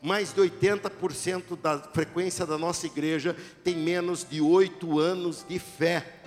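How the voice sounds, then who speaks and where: A middle-aged man preaching a sermon to his church, dramatic, rising to shouts at the peaks and joking with the congregation. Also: on the high side. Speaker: pastor. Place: church sanctuary. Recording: echoey church hall with music.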